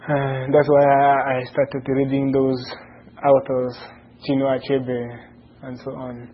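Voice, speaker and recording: A man from Cameroon, telling his life story to interviewers in an earnest, halting way as he searches for words. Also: mid-range voice, completely flat delivery. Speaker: interview guest. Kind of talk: personal life story in conversation. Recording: dull lo-fi sound with faint noise.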